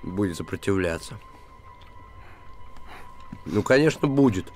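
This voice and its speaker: Earnest, animated provincial man. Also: sits low, unhurried. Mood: casual, concerned. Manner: colloquial, plain-spoken. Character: earnest, protective provincial man, loyal childhood friend. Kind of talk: naturalistic screen drama acting. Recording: film soundtrack with background music.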